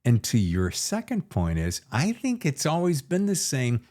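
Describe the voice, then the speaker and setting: A man hosting a conversational interview, musing in wordy, measured phrases. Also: medium pitch, wildly swooping pitch, a little mellow. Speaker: podcast host.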